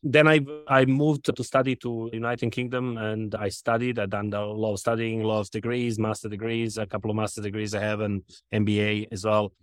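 Serbian-accented man, halting and low. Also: flat delivery, no echo, studio quality. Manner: halting, repeats words, frequent fillers. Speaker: sporting director of a soccer club. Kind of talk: podcast interview.

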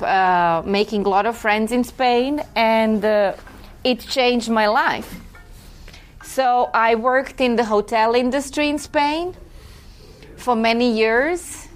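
A woman from Croatia, a guest in an interview, talking in a reflective, chatty way with a few self-corrections. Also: fairly high pitch. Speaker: guest, film festival director. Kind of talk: relaxed conversational interview.

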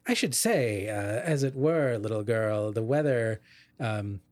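A man's voice: mid-range voice, animated, a little slow, a little mellow, no room sound. A man co-hosting a casual literary discussion, explaining ideas in an erudite way and qualifying his points as he goes. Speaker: podcast co-host explaining literature.

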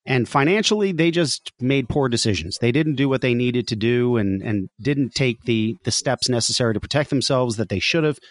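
A man's voice: mid-range voice, animated, normal speed, few pauses, no room sound. A man co-hosting a radio show in a casual, conversational interview style. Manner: joking, sarcastic. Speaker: podcast and radio co-host.

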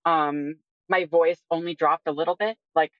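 A woman's voice: sits low, fairly even intonation, normal speed, no echo, lo-fi sound. A woman in her twenties talking casually and candidly as an interview guest, often using "like" as a filler. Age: 20s. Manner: candid, informal, frequent "like" as filler. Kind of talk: casual personal conversation.

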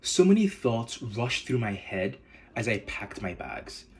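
A man from Nigeria with a Nigerian accent, hosting a podcast in measured, earnest scripted narration. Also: medium pitch, big pitch swings, a little mellow. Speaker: podcast host.

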